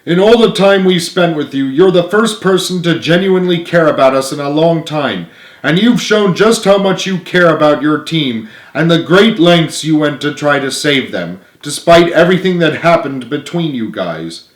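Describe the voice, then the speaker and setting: A man's voice: on the high side, animated, moderate pace, voice pushed up, lightly acted. A man voicing a caring orphan boy who speaks sincerely and pleadingly in a storybook reading. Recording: roomy, echoey home recording.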